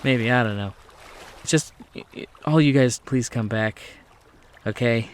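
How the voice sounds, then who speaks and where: A man playing a hesitant, foul-mouthed tugboat captain in a tabletop game, talking in a halting, rambling way. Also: medium pitch, slight background noise, close to an everyday voice. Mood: nervous pleading.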